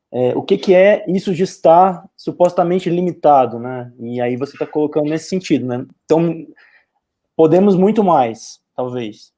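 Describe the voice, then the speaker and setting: A Brazilian man with a mellow, relaxed voice at a middling pitch. He hosts a casual, thoughtful online interview, putting ideas forward tentatively and checking back with little tag questions. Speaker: interviewer and host. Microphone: video call.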